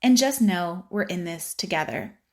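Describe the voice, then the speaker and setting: A woman's voice: fairly low pitch, expressive, normal speed, darkish tone. Upbeat woman with a Spanish accent. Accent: Spanish-accented English. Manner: upbeat, playful asides while explaining. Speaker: podcast host. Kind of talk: informative podcast monologue.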